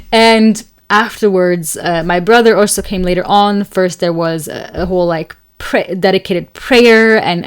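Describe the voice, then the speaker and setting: A young woman from Switzerland hosting an astrology show, talking casually and telling a rambling story, her voice slightly raspy and tired from a long day of talking. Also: medium pitch. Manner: chatty, rambling, many fillers and laughs. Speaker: astrology podcast host. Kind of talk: casual solo talk and storytelling.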